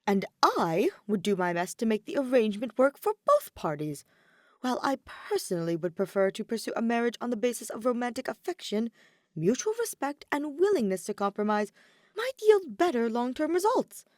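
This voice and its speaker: A woman's voice: on the high side, very animated, normal speed, a little mellow, dry recording, studio quality, voice pushed up. A woman voicing a proud, earnest young nobleman student with an indignant, formal, emphatic manner in an audiobook. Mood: earnest, lofty. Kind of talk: audiobook character acting.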